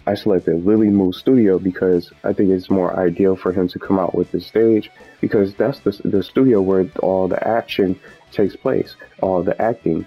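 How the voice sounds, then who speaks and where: A deep-voiced man hosts a gaming video in a casual, unscripted way. He rambles along, circling back to repeat and reword his points. The recording is dull and lo-fi, with music playing behind him. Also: steady tone, moderate pace. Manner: rambling, repeats and rephrases himself. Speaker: host of a gaming video channel. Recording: dull lo-fi mic with background music.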